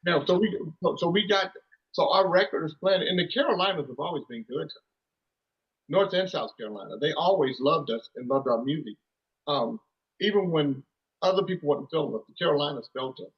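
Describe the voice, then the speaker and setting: Husky guy rambling thru music stories, kinda high voice, muffled lo-fi sound. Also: brisk. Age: 30s. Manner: rambling, lots of restarts and "you know". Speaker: musician guest. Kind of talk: casual storytelling interview.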